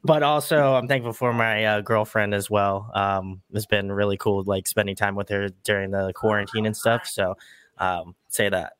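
A man guest on a casual podcast chat, talking in a relaxed way with some hesitation. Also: lowish voice, normal speed, clean tone, dry recording.

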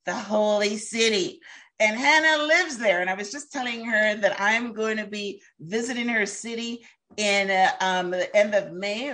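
A woman hosting an interview with a bright, forceful voice. She sounds openly excited, drops in lots of ums and you-knows, and laughs easily between thoughts. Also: mid-range voice. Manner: enthusiastic, laughs often, many fillers. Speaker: host. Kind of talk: casual interview chat.

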